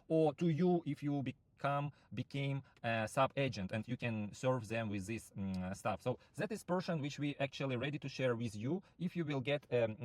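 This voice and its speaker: A man whose first language is not English, giving a halting sales pitch for a rental platform, full of fillers and restarts. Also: no room sound. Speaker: property platform founder recruiting sub-agents. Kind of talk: screen-share walkthrough pitch.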